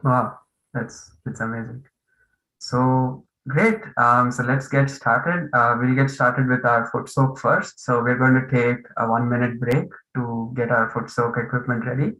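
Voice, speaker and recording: A man hosting an online meditation course on a video call, speaking with many fillers and pauses. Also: mid-range voice, little pitch movement, echoey room, poor audio. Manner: hesitant, many fillers, warm welcoming tone.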